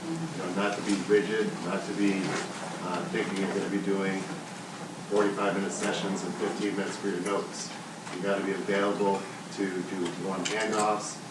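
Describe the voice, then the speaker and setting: A man in the audience, an experienced clinician, giving earnest, practical advice to the room. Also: on the low side. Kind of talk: comment from the floor. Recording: distant room mic, loud noise, echo.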